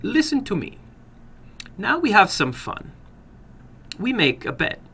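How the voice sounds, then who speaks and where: Casting: a man playing a sinister little foreign gambler for a horror story, raised pitch, soft, polite, coaxing, foreign accent. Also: huge pitch swings, breathy edge, full character voice. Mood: coaxing, sly. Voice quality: soft, toneless. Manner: slow, courteous, coaxing, broken English. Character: funny little foreign gambler, soft-spoken, slyly menacing.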